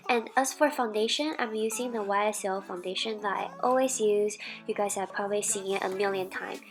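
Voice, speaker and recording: A young woman in her twenties with a Chinese accent, narrating a makeup tutorial in a soft, chatty and slightly self-conscious way. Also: medium pitch. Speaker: beauty vlogger. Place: small bright room.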